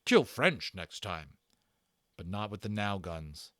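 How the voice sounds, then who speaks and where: Man voicing a stern, proud, loud-spoken warrior chief. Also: on the low side, very animated, slow, dry recording, studio quality, heavily acted. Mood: gruff, forceful. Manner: clipped broken grammar, weighty and declarative. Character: proud, stern male warrior chief, bitter yet honorable. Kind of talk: dramatic storybook character reading.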